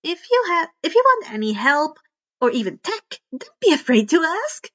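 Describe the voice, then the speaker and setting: A woman's voice: high voice, big pitch swings, normal speed, sharp tone, highs cut off, voice pushed up, heavily acted. A woman voicing an eccentric, curious teenage girl inventor with a perky, casual, teasing manner in a story reading. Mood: reassuring.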